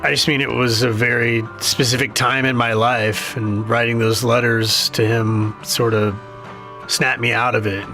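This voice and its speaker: Man playing a jaded, gravelly younger brother. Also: medium pitch, flat delivery, bright, forceful tone, soft background music. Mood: guarded, reflective. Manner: halting, self-deprecating, trailing off. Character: Simon, jaded, guarded, grieving younger brother, record store employee. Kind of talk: naturalistic audio drama dialogue.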